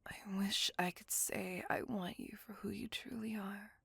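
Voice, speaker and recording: Woman acting a breathy, whispering, lovelorn lady vampire. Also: medium pitch, fairly even intonation, fairly bright tone, no echo, exaggerated voice. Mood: wistful, yearning. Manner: slow, formal, tender and wistful. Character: lovelorn, courteous, melancholy female vampire on a date. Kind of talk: ASMR roleplay, intimate monologue.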